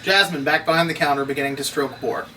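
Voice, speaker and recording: Male narrator for a script read-through, bright and fairly high. Distant mic, faint noise. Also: quick pace. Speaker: narrator reading stage directions. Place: room, read aloud at a table.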